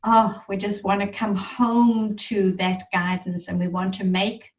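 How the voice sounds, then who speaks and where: A woman leading a guided meditation in a soothing, reverent manner, leaving long pauses between phrases. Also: medium pitch, little pitch movement. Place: online group gathering. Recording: muffled, roomy, distant online-call sound.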